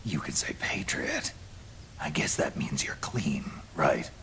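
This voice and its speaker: An actor playing a grizzled, wary older soldier in a game cutscene, growling tersely in a gravelly whisper. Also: male voice, rumbling low, sing-song, slow, bright, forceful tone, heavily acted. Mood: wary, probing. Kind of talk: video game cutscene acting.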